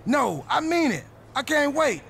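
An actor playing a goofy, excitable male wrestler in a video game cutscene, talking in an animated, playful, jokey way. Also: very high-pitched, a little slow, gravelly, a little hiss or hum, heavily acted.

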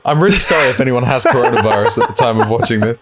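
A man's voice: mid-range voice, lively intonation, rapid-fire, over a noisy crowd. A man co-hosting a let's-play, speaking in an informal, conversational way. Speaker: gaming show co-host. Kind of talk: casual let's-play chat.

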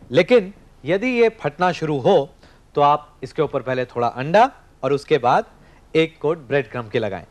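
A man in his thirties hosting a studio cooking show, explaining each step while he cooks and talks to the camera. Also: a little high. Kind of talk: scripted cooking demonstration. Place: TV studio kitchen set.

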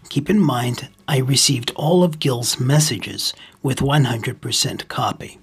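Husky-voiced man narrating a tutorial. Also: medium pitch. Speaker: ham radio video host. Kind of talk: scripted instructional narration.

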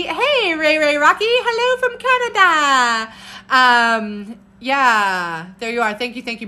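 Loud, bright-voiced woman on a live stream. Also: high-pitched. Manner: animated, jumps between topics and viewer comments, upbeat. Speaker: herbalist hosting a live stream. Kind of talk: casual live-stream chat answering comments. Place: home room with bookshelves, indoors. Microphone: phone camera at arm's length.

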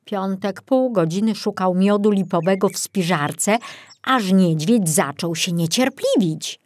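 A woman narrating a children's storybook in an expressive, deliberate style. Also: mid-range voice, no echo. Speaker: audiobook narrator.

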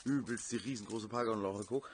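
A man's voice: fairly low pitch, fairly quick, a little hiss or hum. A man chatting casually in a slangy, sweary, rambling way. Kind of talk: casual chat, voice messages and phone talk.

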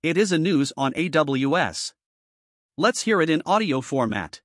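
Male tutorial narrator, slightly high-pitched, scripted instructional read, very dry sound. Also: sing-song, a little slow, dry recording. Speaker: programming tutorial presenter.

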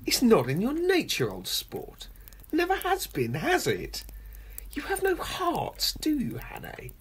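A woman playing a suave, gloating male professor and secret spy in a radio play, pompous mock courtesy and a husky, breathy voice. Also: sits high, huge pitch swings, unhurried, heavily acted. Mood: mocking, grandiose. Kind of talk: homemade radio play acting.

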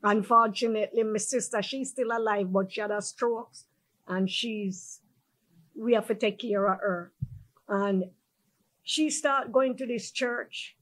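A woman's voice: a little high, unhurried, low-quality recording. An older woman from Jamaica with a Jamaican accent, a guest telling her life story in a group chat and laughing as she goes. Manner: lively, laughs as she tells it.

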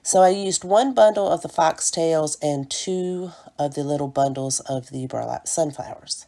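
Stuffy-nosed woman with a low, mellow voice. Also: measured pace, vocal fry. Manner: chatty, apologetic, fond of "little" and "cute". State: stuffy from a cold. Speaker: DIY craft channel host. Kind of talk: crafting tutorial voice-over.

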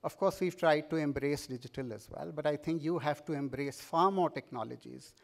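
A man's voice: on the high side, brisk, creaky. A middle-aged man with an Indian English accent giving an urging keynote speech at a podium mic on a large conference stage, with fillers and repeated words. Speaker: keynote speaker.

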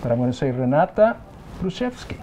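A man's voice: mid-range voice, big pitch swings, brisk, dark tone, highs cut off, slight background noise. A middle-aged man hosting an interview at a conference, chatty and effusive, often restarting his sentences. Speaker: interview host. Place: conference room, indoors.

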